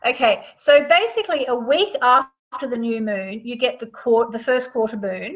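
A woman's voice: fairly high pitch, moderate pace. A woman speaking as a guest author, casually explaining her subject in a conversational way, checking in with the listener as she goes. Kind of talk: casual explanatory interview talk. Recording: dull lo-fi sound.

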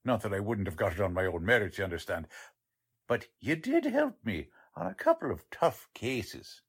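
A man's voice: on the low side, sing-song, normal speed, slightly rough, exaggerated voice. A man acting a cheerful, proud, unpolished police lieutenant with folksy bluster in a 1940s-style radio drama. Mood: good-natured, self-satisfied. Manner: folksy, ungrammatical, good-natured bluster.

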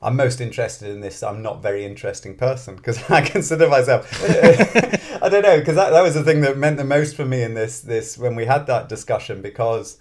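A man's voice: medium pitch, brisk, few pauses. A man with a British accent, talking casually as an interview guest and thinking aloud in a self-deprecating way. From Britain, grew up in Scotland. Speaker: interview guest, mathematics professor and author.

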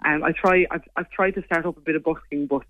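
A young Irish woman with a low voice, chatting quickly as a singer guest in a casual interview. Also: fairly even intonation, dry recording, phone-quality sound. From Ireland. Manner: quick, chatty, lots of "like" and "you know".

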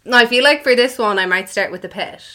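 A woman's voice: on the high side, quick pace, pushed and bright. A woman in her twenties with an Irish accent, a native Irish speaker, chatting casually as a podcast guest and laughing often at herself. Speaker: anonymous guest.

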